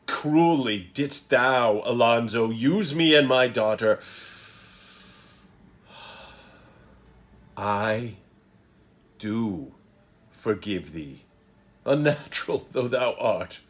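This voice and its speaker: A man playing a powerful, wronged magician in a Shakespearean soliloquy, declaimed in a solemn, commanding, deliberate manner. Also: lowish voice, lively intonation. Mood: solemn, stern.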